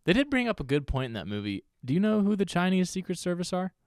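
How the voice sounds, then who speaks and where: A man's voice: high voice, big pitch swings, fast talker, dry recording, studio quality. A young man co-hosting a podcast, chatting casually about movies, rambling and trailing off, laughing often. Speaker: podcast co-host. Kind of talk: casual chat.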